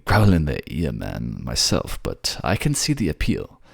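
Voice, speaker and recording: A courtly merman prince played by a man for a romantic fantasy audio drama. His voice is very deep and gravelly, with lively melodic swings. He is affectionate, polite and modest, with bashful hesitations. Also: measured pace, no echo, clean studio sound, full character voice. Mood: bashful, amused.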